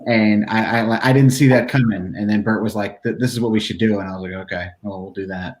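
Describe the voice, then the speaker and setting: A man with a lowish voice hosts a relaxed livestream, ribbing his friends and then moving the talk along with easy questions. His delivery is loose and conversational. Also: quick pace. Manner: teasing, easygoing, steers the talk. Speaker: co-host of a motorcycle channel. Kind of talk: casual livestream chat.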